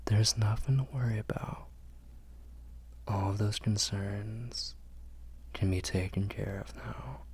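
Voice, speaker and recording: A man playing a protective, tender vampire lord in an intimate ASMR roleplay, whispering in a breathy, husky, reassuring way. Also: lowish voice, full character voice. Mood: tender reassurance. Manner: slow, hushed, reassuring. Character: protective, tender male vampire lord soothing his human.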